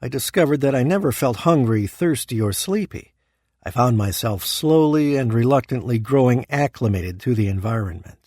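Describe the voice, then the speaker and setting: Male damned soul, medium pitch, slightly rough, ambitious, self-important, formal, deliberate, audio drama. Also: expressive, darkish tone, no echo, clean studio sound. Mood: measured storytelling. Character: Peter Sinclair, ambitious, self-important damned man narrating. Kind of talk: audio drama acting and first-person narration.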